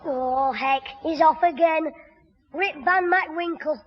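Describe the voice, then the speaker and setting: A man's voice: very high voice, slow. A man playing a cheeky, wisecracking young schoolboy in a 1960s radio sitcom. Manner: sarcastic wisecracking. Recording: muffled, noisy old radio recording.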